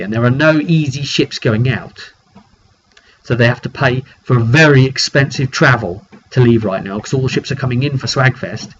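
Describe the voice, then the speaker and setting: A man giving an informal recap of a tabletop roleplaying session as its game master, telling it emphatically with dramatic pauses. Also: mid-range voice. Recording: dull lo-fi sound with clear noise.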